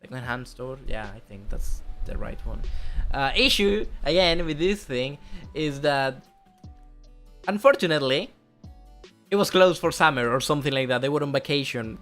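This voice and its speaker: A man's voice: mid-range voice, fairly bright tone. A young man with a slight Spanish accent, chatting casually as a streamer about a trip, rambling, correcting himself and swearing now and then. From Spain.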